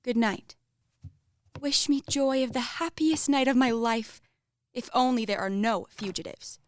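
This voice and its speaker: Proud young romantic heiress female actor, high voice, dry close sound, lofty theatrical delivery for radio drama. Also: fairly even intonation, measured pace, dry recording, natural voice. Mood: girlish, eager. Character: romantic, proud young lady of a wealthy house. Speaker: actor in a student radio play. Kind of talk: radio play acting.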